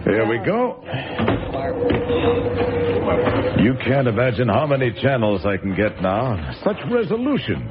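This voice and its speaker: A man's voice: mid-range voice, huge pitch swings, moderate pace. An actor playing a bitter, aging writer who has been pushed out of his job in an old radio drama. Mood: proud. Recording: muffled old radio recording with background noise.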